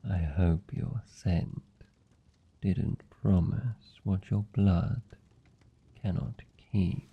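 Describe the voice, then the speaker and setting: A man's voice: deep voice, gravelly, breathy edge, muffled recording. A British man playing a suave, seductive vampire lord in a fantasy ASMR roleplay, speaking formally and teasingly with commanding, predatory politeness. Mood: teasing, coaxing. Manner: teasing, formal, silky and deliberate. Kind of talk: fantasy ASMR roleplay, slow intimate monologue.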